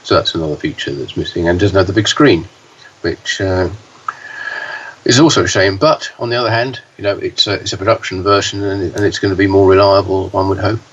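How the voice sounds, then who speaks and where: A man co-hosting a podcast, talking with a hoarse voice and halting, with frequent fillers and restarts. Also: sits low. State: hoarse, deeper voice than usual. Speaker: podcast co-host, pinball news reporter. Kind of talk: casual podcast chat. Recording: dull, slightly distant, faint hiss.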